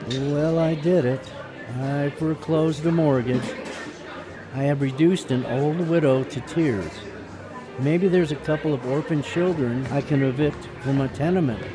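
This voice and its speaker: A man playing a wry mortgage man with a soft heart in a radio drama, speaking dryly and earnestly. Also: on the high side, a little slow, a crowd in the background, close to an everyday voice, poor audio. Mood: sardonic, glum.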